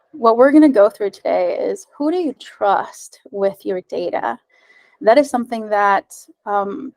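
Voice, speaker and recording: A woman's voice: medium pitch, dry recording. A woman in her thirties whose first language is Spanish, giving a casual, friendly tech meetup talk over slides on a video call, with frequent ums and uhs. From United States. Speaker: meetup speaker, mobile developer. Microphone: webcam on a video call.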